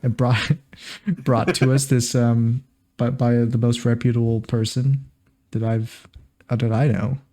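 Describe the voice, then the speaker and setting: Mellow-voiced man, joking podcast host. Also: mid-range voice, flat delivery, a little slow. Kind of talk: casual comedic chat.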